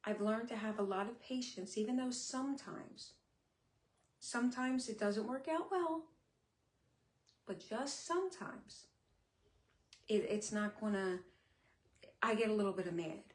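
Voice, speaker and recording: A middle-aged woman talking candidly on a live stream, her speech sometimes a little slurred, with many pauses and fillers. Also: mid-range voice, expressive. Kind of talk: casual live-stream talk. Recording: echoey room.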